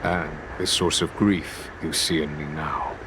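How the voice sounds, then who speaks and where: Low-voiced, composed general. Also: male voice, noisy background. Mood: grave. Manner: measured, formal, calm. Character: composed, wise middle-aged general and sword master. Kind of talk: game voice acting.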